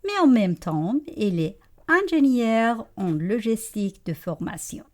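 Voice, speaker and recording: A woman hosting a live radio poetry show, reading a poetic introduction in a slow, expressive way. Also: medium pitch. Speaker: radio host. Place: radio studio.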